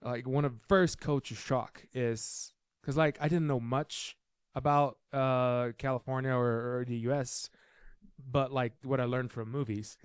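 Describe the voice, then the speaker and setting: A man's voice: mid-range voice, steady tone, unhurried, clean tone, no echo, studio quality. A young man from Brazil with a Brazilian Portuguese accent, a native Portuguese speaker chatting easily as a podcast guest and searching for words now and then. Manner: easygoing, searches for words, trails off softly. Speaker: guest, filmmaker. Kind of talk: casual interview chat.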